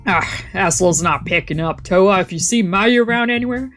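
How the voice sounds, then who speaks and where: A woman voicing a gruff, brash young gangster man from a visual novel, curt and swaggering. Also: medium pitch, moderate pace, a bit bright, faint music behind, close to an everyday voice. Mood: irritated, cocky.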